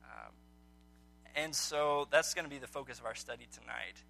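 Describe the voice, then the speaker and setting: A man's voice: mid-range voice, animated, normal speed, creaky, pushed and bright, faint music behind, poor audio. A man leading an evening Bible study, talking casually to his group, asking them questions with frequent ums. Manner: conversational, quizzes listeners, frequent ums. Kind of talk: casual teaching talk to a small group. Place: indoor meeting room with a group.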